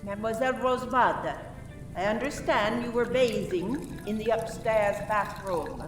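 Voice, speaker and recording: Woman acting as stern police inspector, slow and deliberate. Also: medium pitch, recorded from a distance, natural voice. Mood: stern, probing. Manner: formal, deliberate interrogation. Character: stern, accusing female French police inspector questioning murder suspects. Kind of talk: community radio play acting. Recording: roomy, off-mic, music behind.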